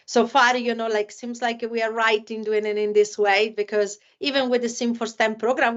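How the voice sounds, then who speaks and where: A woman's voice: fairly high pitch, highs cut off. A woman from Italy with an Italian accent, hosting a casual podcast chat and filling her talk with "you know, like". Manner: many fillers like "you know, like". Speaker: podcast host.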